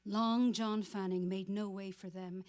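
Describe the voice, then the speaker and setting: Female narrator for staged literary prose, medium pitch, scripted delivery. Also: fairly even intonation, a little slow, a little mellow.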